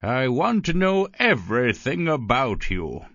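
A man voicing a gentle, polite old gentleman in a storybook reading, slow and courteous. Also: mid-range voice, big pitch swings, no room sound, highs cut off, pitched above the performer's own voice, full character voice. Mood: kindly.

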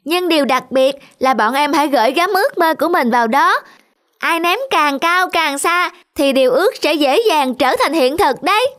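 A woman's voice: sits high, expressive, normal speed, fairly bright tone. A woman voicing a friendly, eager older boy who encourages younger children in a cartoon. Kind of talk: cartoon acting.

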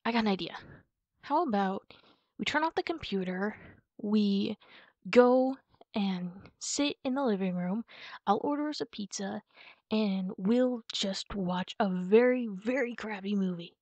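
A woman speaking casually and haltingly in a comforting ASMR roleplay, as a reassuring friend talking to the listener. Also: medium pitch, a little mellow, no room sound, muffled recording. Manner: reassuring, informal, halting with pauses. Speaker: ASMR roleplay performer playing a supportive friend.

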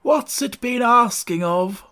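Hoarse, slow-drawling old frog. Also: male voice, very high-pitched, expressive, pushed and bright, voice pushed up, heavily acted. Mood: slow, puzzled. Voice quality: hoarse, whispery.

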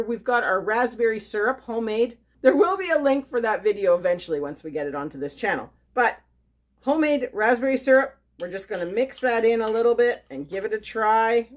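A woman hosting a homesteading cooking video, chatting casually and upbeat as she works in her home kitchen. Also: on the high side. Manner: chatty, upbeat, thinks aloud while working. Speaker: homesteading channel host.

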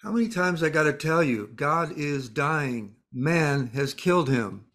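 An actor playing a world-weary spirit, blunt and cryptic, pronouncing grim truths matter-of-factly in a dramatised story. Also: male voice, on the high side, expressive, unhurried, fairly bright tone. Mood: scornful, solemn.

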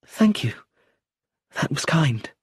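A man's voice: a little high, very animated, takes it slowly, husky, no room sound, clean studio sound, voice pushed up, heavily acted. A man voicing a young woman, grieving but blunt and determined, who speaks tersely and firmly. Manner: terse, firm, a little raised.

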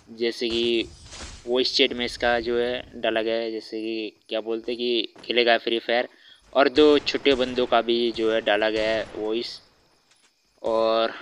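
A man's voice: mid-range voice, sharp tone, smooth. A man giving casual commentary as host of a gaming video.

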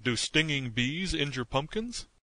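A man's voice: a little high, animated, measured pace, pushed and bright, no room sound, heavily acted. A man voicing a fearful, gloomy pumpkin-headed creature in a storybook, worried and touchy in manner.

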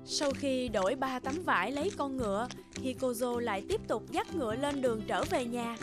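A woman's voice: high-pitched, expressive, normal speed. A woman reading scripted fairy-tale narration as the storyteller, with music behind her.

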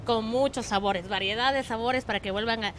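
A woman's voice: on the high side, a little fast. A woman representing the hotel with an Ecuadorian Spanish accent, friendly with some hesitant starts. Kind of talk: promotional chat.

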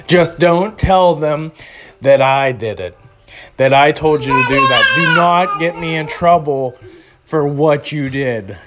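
A man's voice: fairly high pitch, takes it slowly, background noise. A young man from recording a joking, rambling voice-over at home, reviewing a public restroom in a mock-serious way.

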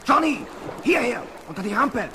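Snappish crook's sidekick, high voice, nervy grumbler, old radio crime play under loud noise. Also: male voice, very animated, moderate pace. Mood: grumbling. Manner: colloquial, snappish. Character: jumpy, grumbling male smuggler henchman. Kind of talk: German radio play acting.

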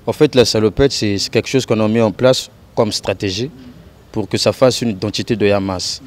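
A Congolese man from Brazzaville, a guest musician, speaking in a casual outdoor interview in a measured, explanatory way with many "donc, euh" pauses. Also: fairly low pitch, fairly even intonation. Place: outdoor courtyard. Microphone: handheld mic held by host.

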